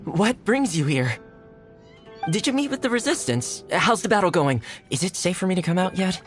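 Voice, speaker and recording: A man's voice: high-pitched, expressive, normal speed. A man playing a friendly, easygoing young male retainer in a video game. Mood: friendly, curious. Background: background music.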